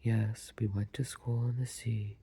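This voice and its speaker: A storyteller voicing a sorrowful, touchy male mock turtle in a deep, hollow tone, in a low whisper. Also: flat delivery, measured pace, creaky, mellow, slight background noise. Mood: sulky.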